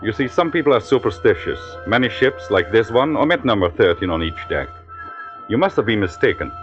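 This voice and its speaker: Man acting a deep-voiced, courteous ship's doctor. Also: lively intonation, music in the background, natural voice. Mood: grave, placating. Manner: formal, measured, precise speech. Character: courteous older ship's doctor, calm and reassuring. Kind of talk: 1940s radio drama acting. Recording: dull vintage radio recording, busy background.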